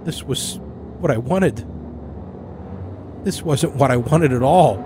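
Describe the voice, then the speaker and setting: A man's voice: mid-range voice, slow. A man reading scripted narration as the storyteller of a fiction podcast. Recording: music under the voice. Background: musical score.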